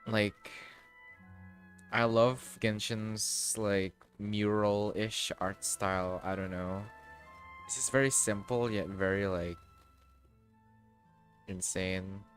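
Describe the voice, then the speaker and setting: Man, fairly low pitch, slow pace, casual halting stream commentary, music behind. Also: fairly even intonation. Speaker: game livestreamer. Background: background music.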